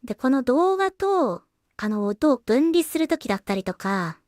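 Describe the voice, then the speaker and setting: A woman's voice: high voice, big pitch swings, normal speed, a little mellow, no room sound, clean studio sound. A woman giving casual work-along commentary as a solo creator, half talking to herself in short, trailing remarks. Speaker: solo animation creator and streamer.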